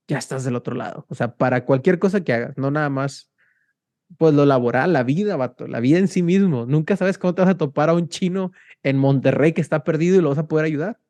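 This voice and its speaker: A young man from Mexico, hosting a casual interview and telling stories in a slangy, animated way. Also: fairly high pitch, no room sound. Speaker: podcast host.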